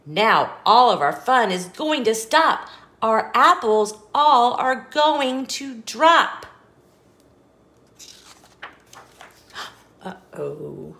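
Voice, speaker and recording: A woman reads a children's storybook aloud in a high, very lively voice. She goes slowly, leaning into key words with expressive emphasis. Between lines of the story she speaks to the young listener in asides. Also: gravelly. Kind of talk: animated picture book read-aloud for young children.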